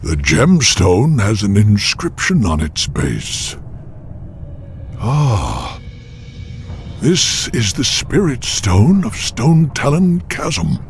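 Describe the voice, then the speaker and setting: Old beast chief, gravelly, big swooping slow delivery, wise n solemn, game cutscene. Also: male voice, on the low side, big pitch swings, soft background music, heavily acted. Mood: awed. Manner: solemn, weighty. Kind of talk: video game cinematic acting.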